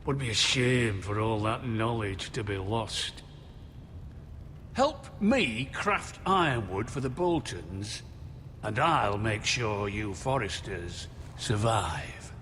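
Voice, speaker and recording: An actor playing an older, menacing rival lord in a fantasy video game, pompous, mocking and theatrical. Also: male voice, on the low side, expressive, takes it slowly, a bit bright, a little hiss or hum. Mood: scornful, threatening. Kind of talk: dramatic video game acting. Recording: long hall reverb, music underneath. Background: background music.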